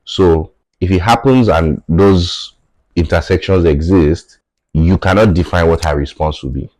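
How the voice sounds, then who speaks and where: A man's voice: deep voice, unhurried, darkish tone, rough recording. A man in his thirties with a Nigerian accent, a native Yoruba speaker, earnestly explaining his views as a podcast guest and often checking in with "right?". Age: 30s. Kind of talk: reflective conversational podcast talk.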